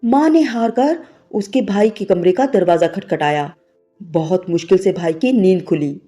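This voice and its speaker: Bright-voiced woman storyteller over music. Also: on the low side, unhurried. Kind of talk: scripted narration of a sentimental moral story. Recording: music bed behind the voice. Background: background music.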